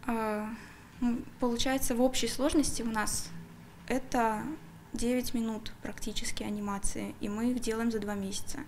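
Young female interviewee, raised pitch, halting explanation, slight background noise. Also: little pitch movement, darkish tone. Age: young adult. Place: indoor studio classroom. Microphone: handheld reporter's microphone. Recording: faint room noise behind.

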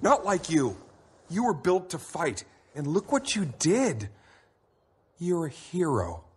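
A man's voice: on the high side, sing-song, slow pace, breathy edge, full character voice. A man voicing a restless young crop-duster plane, earnest and pleading.

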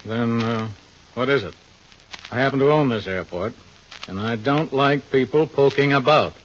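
An actor playing a suspicious, guarded airport owner in an old radio drama, speaking formally. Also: male voice, mid-range voice, moderate pace, natural voice. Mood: guarded, curt. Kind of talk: 1950 radio drama acting. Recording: muffled, faintly noisy old radio recording.

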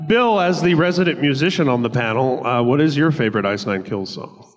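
A man's voice: mid-range voice, expressive, fairly quick, faint music behind. A man moderating a convention panel, joking dryly with the guests. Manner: dry, joking. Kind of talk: casual panel hosting. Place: large convention hall, on stage. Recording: convention hall reverb with noise.

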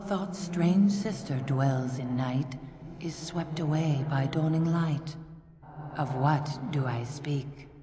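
Very low, mysterious ghost woman. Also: mellow. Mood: cryptic, solemn. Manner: solemn, deliberate. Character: mysterious ghostly woman, cryptic riddling spirit. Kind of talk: fantasy video game acting. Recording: long reverb, noisy game ambience.

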